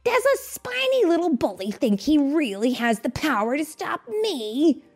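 A woman's voice: high voice, big pitch swings, a little slow, soft background music, voice pushed up, heavily acted. A woman storyteller voicing a maniacal male mad-scientist villain in a theatrical, sneering way. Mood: mocking.

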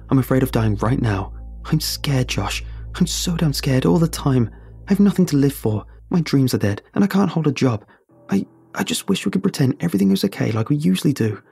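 Guy doing a terrified insecure dude in a horror story, pleading, pitched up, gravelly, music behind. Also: expressive, moderate pace, mellow, dry recording. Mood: desperate, pleading. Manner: pleading, emotional outbursts. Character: Sam, frightened, despairing, insecure male friend. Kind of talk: dramatic horror story dialogue.

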